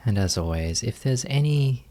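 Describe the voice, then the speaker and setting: A man leading a guided meditation as a teacher, speaking gently in short phrases with unhurried pauses. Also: medium pitch, lively intonation.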